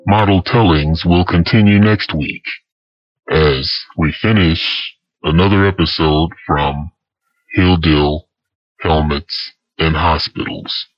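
A man with an extremely deep voice makes a scripted radio announcement. He speaks very slowly, and the sound is dry and somewhat muffled. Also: dry recording, soft background music. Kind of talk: scripted programme outro announcement. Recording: dull lo-fi close dry recording.

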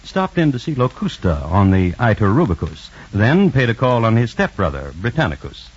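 A man narrating a scripted old-time radio drama in a wry, deadpan manner. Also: lowish voice, moderate pace, husky. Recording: dull old radio recording, music behind.

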